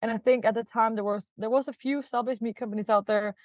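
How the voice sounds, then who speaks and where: A woman's voice: on the high side, steady tone, fast, no room sound, studio quality. A woman from Denmark, a startup founder, chatting as a podcast guest, laughing often and filling her talk with "like" and "kind of". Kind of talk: casual podcast interview.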